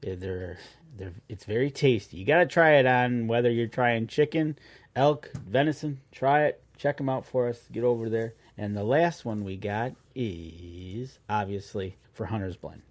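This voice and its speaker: A man's voice: medium pitch, normal speed. A man co-hosting a hunting podcast in a cabin, chatting loosely and reading promo codes. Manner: chatty, rambling, reads sponsor codes. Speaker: podcast co-host. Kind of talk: casual chat.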